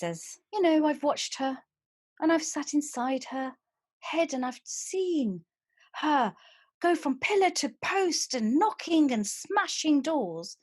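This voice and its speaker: A woman playing a calm, protective female inner voice who speaks softly and soothingly in a solo stage monologue. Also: high-pitched, no echo, studio quality. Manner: soft, soothing, confiding.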